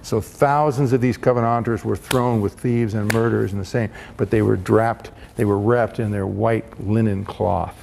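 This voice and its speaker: A man preaching a storytelling sermon, impassioned, rising to loud peaks when he quotes the heroes he describes. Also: on the low side, steady tone, normal speed, gravelly, few pauses, a little hiss or hum. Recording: long hall reverb.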